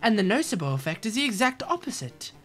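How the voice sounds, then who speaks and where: A woman's voice: medium pitch, very animated, fast talker, a bit bright, voice pushed up. A woman voicing a refined young princess in a video game, speaking formally. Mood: explaining.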